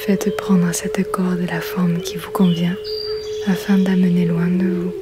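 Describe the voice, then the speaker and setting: A woman guides a sleep hypnosis in a whispered, breathy, soothing ASMR voice. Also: lowish voice, flat delivery, measured pace, music in the background.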